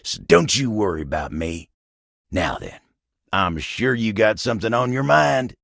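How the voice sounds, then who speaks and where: A genial, humble working cowboy for a video game, drawling in a folksy American Southern accent. The gravelly voice sits fairly low and moves at a slow, easy pace, with lively swings in pitch. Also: male voice, no echo, clean studio sound, full character voice. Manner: folksy, easygoing drawl with frequent chuckles. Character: folksy, humble, hardworking cowboy coffee table, genial older-sounding male. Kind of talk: video game character dialogue.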